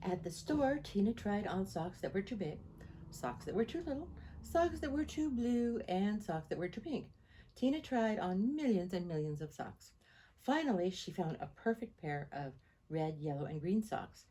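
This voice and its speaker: A woman reading a picture book aloud to children in an expressive, animated storytelling style. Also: medium pitch, moderate pace, slight background noise. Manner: animated, repeats sound words.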